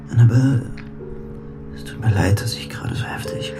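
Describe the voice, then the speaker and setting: An actor playing an obsessive, secretive bachelor in a German radio drama, intense and shifting between hushed muttering and outbursts. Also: male voice, mid-range voice, moderate pace, over music, lightly acted. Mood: pleading, eager.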